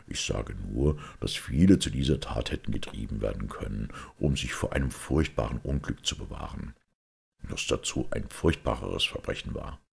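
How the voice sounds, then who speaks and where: A man voicing a grave, thoughtful priest detective who explains things in a measured, sombre way in an audiobook. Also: a bass voice, gravelly, husky, full character voice. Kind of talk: audiobook character acting.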